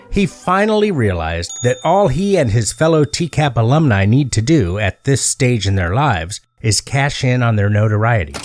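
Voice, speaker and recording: A man delivering scripted comedic storytelling as narrator, in a deliberate, mock-earnest way with emphatic phrasing. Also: medium pitch.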